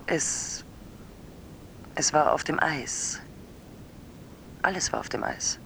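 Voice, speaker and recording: An actress playing a dreamy, literal-minded caller to a radio show, halting and hushed. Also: female voice, low-pitched, huge pitch swings, gravelly, in a whisper, exaggerated voice. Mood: hesitant, insistent. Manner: halting, hushed, trance-like, naive. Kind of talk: radio drama acting.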